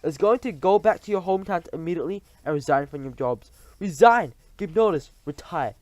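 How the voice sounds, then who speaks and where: A man's voice: fairly high pitch, sing-song, normal speed, a little mellow, dry recording, pitched above the performer's own voice. A man reading aloud and voicing a tiny, tyrannical female witch leader who shrieks orders in stilted, odd grammar. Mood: commanding.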